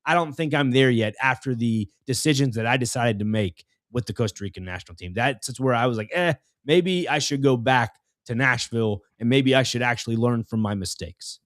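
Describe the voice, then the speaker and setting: A man's voice: medium pitch, fairly quick, few pauses, no echo, studio quality. A man co-hosting a sports talk show, giving conversational commentary in an earnest, explanatory way and often restarting his phrases.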